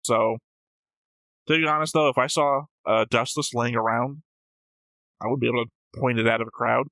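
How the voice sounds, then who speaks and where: A man's voice: medium pitch, steady tone, moderate pace, fairly bright tone, no echo, poor audio. A man chatting casually as a reaction show co-host, rambling through his points and arguing them out. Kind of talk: casual discussion of an anime.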